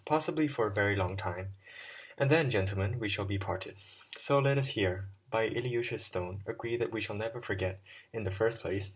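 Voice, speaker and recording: A man reading an audiobook, voicing an earnest young man who counsels softly and then addresses a group of boys with feeling. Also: on the low side, natural voice, poor audio. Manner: earnest, rising to a heartfelt address.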